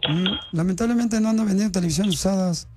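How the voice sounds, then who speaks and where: High-voiced man running a prank call. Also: quick pace, music in the background. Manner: teasing, mock-stern, needling. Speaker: radio prank-call host. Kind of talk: prank phone call, posing as a government official.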